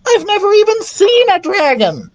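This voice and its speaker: A woman's voice: medium pitch, huge pitch swings, slow pace, dull audio, pitched above the performer's own voice. Woman as a reluctant, whiny storybook boy prince. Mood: pleading. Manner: sputtering, whining, muttering. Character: reluctant, overwhelmed boy prince who loves jokes.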